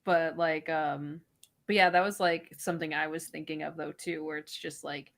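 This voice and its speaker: A woman's voice: low-pitched, steady tone, moderate pace, fairly bright tone. A woman co-hosting a casual podcast chat, hesitant and full of fillers. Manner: hesitant, filler-heavy, giggly. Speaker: podcast co-host.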